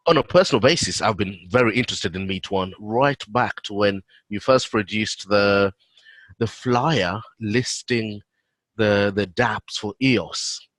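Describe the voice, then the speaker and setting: A British man on a video call, explaining his work in a relaxed way with frequent fillers. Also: fairly low pitch, measured pace, dry recording, low-quality recording. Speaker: guest, head of operations.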